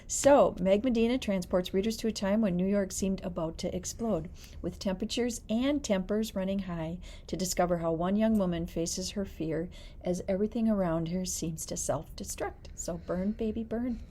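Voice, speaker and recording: A woman's voice: medium pitch, moderate pace, mellow, a little hiss or hum. A woman librarian hosting a book talk, reading prepared blurbs aloud with some light chat. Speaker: librarian host.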